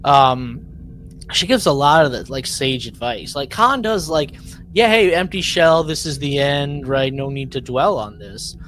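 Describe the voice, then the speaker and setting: A man's voice: on the high side, expressive, measured pace, a bit bright, slight background noise. A man co-hosting a podcast in casual discussion, thinking aloud and often checking his points with a questioning tag. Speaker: podcast co-host. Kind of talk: casual discussion recapping a drama episode.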